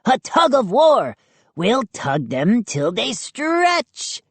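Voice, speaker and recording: A woman voicing gleeful, mischievous gnomes in a shrill, taunting, chanting way for a storybook. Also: expressive, takes it slowly, no room sound, heavily acted.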